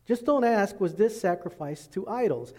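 A man preaching a sermon as pastor, working through scripture and acting out quoted speech in lively voices. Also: high voice, normal speed. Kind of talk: expository sermon. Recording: long reverb in a large hall.

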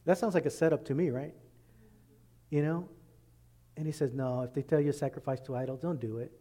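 A man preaching a sermon as pastor, working through scripture and acting out quoted speech in lively voices. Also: a little high, a little fast. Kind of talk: expository sermon. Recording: long reverb in a large hall.

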